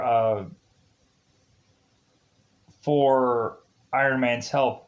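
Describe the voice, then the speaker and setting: A man reviewing episodes in a casual, rambling way, halting often and circling back over his phrases. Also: medium pitch, dull audio.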